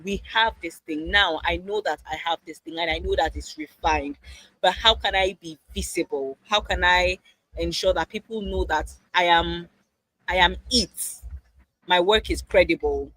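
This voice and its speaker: A man's voice: high voice, moderate pace, bright, forceful tone, no room sound, poor audio. A man hosting an online mentorship session, interviewing in a conversational way over a video call.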